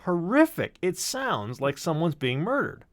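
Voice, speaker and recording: A man talking casually as a podcast sound designer, telling stories in an animated, sweary way. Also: a little high, measured pace, no echo, clean studio sound. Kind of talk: casual chat and storytelling.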